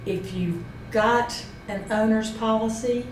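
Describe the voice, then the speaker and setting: A woman's voice: fairly high pitch, fairly even intonation, slow pace. A woman presenting an informal instructional talk, explaining step by step and checking points with a colleague. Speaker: seminar presenter. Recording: roomy echo, distant mic, faint noise.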